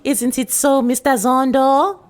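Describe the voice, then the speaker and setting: A woman voicing a fierce, bossy drinking-den madam in a story reading, loud and commanding. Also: high-pitched, fairly even intonation, measured pace, faint music behind, voice pushed up. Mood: firm. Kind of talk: acted dialogue in a short story reading.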